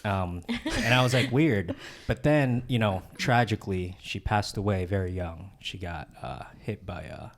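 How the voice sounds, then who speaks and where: A man with a very low-pitched voice tells a personal story as a podcast guest. He speaks softly and haltingly, often restarting his sentences in a relaxed, casual way. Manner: halting, soft-spoken, many restarts.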